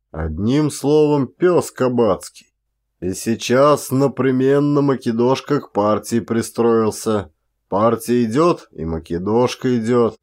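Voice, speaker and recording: Man acting a garrulous, slow-spoken village peasant. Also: medium pitch, a little mellow. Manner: folksy, exclamatory, rambling rustic talk. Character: garrulous, indignant Siberian village peasant man, folksy storyteller. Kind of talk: one-actor audiobook character acting.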